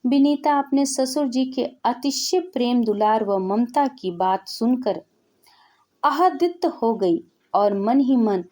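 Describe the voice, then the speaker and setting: A woman's voice: a little high, lively intonation, slow, a little mellow. A woman storyteller reading a scripted narration of a family story.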